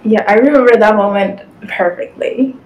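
A young woman whose first language is Spanish, speaking English with a Spanish accent as an interview guest, chatty and quick with lots of "like" fillers, on a video call from home. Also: medium pitch, darkish tone, a little hiss or hum, poor audio. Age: young adult. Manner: chatty, fast, many "like" fillers. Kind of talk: casual interview chat. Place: home room with city windows.

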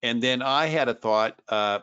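A man's voice: mid-range voice, unhurried, pushed and bright, smooth, highs cut off. A man co-hosting a webinar, casually asking and talking about technical matters over a video call. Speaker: webinar co-host.

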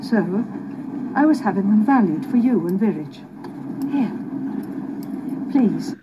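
An actress playing a bitter, regretful middle-aged mother in a radio drama, formal and deliberate, heard through a noisy radio. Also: mid-range voice, animated, dull audio. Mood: bitter, remorseful.

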